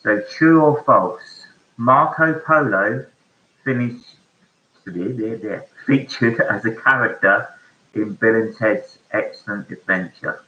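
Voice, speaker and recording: A man hosting an online pub quiz, reading each question slowly and repeating it. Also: lowish voice. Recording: echoey room, dull lo-fi sound.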